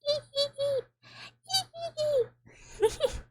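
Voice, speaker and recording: A young woman streaming ASMR, whispering softly to her chat with giggles and playful squeaky outbursts, close to the microphone. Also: animated, drawn-out words, no echo. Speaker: VTuber streamer.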